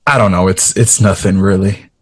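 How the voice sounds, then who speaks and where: A man's voice: deep voice, fairly even intonation, normal speed, husky. An actor playing a heartbroken, wistful male jazz composer in a 1960s audio drama.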